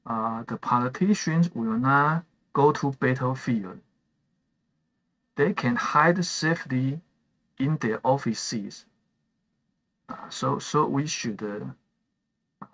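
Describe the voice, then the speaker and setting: A man from Taiwan whose first language is Chinese, chatting slowly through a live game stream with many fillers and restarts while he answers viewers' messages. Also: medium pitch, fairly bright tone, low-quality recording. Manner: reads chat messages aloud and answers them. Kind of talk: live gameplay stream chat.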